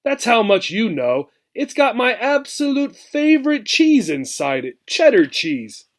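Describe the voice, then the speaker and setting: A man's voice: very high-pitched, big pitch swings, a little slow, pitched above the performer's own voice, exaggerated voice. A man reading a children's story, voicing a feisty, stubborn young female gerbil. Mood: defiant, doubting.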